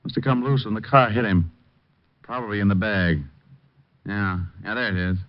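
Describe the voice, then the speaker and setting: An actor playing a cool, flirtatious private detective who also narrates in the first person, in a 1950s radio drama with a dry, wry delivery. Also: male voice, fairly low pitch, moderate pace, natural voice. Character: wisecracking male private eye, cool and flirtatious. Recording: dull old radio broadcast with music.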